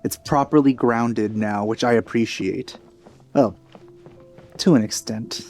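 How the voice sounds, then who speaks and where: Mellow man doing let's play commentary. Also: medium pitch, unhurried. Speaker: let's player. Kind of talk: casual off-the-cuff gameplay commentary. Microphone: headset. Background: game music.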